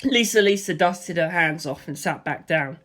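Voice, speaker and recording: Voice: medium pitch, animated, normal speed, a bit bright. First-person storyteller with spoken sound effects. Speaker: narrator. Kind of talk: first-person audiobook narration.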